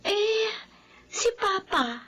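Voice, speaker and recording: A woman's voice: very high-pitched, animated, drawn-out words, fairly bright tone, exaggerated voice. An actress playing a sweet, earnest young girl in a radio drama, with a respectful, plaintive way of talking. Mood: uncertain, wistful.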